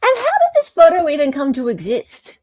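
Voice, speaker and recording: A woman hosting casual commentary in an animated, blunt way. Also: high-pitched, a little fast, dry recording. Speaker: host.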